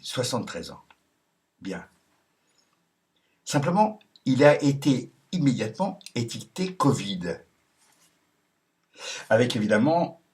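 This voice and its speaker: Sardonic lowish-voiced man, chuckling often. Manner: hesitant, many ums and restarts. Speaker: video commentator. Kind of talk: casual monologue, reading viewers' messages aloud.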